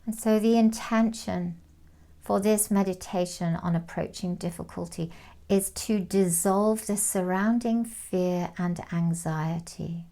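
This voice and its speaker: A woman guides a meditation very slowly, leaving long pauses between phrases. Her voice sits slightly low and has a marked creak. She sounds calm and soothing, letting the ends of phrases fall away softly. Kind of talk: guided meditation, gentle instruction.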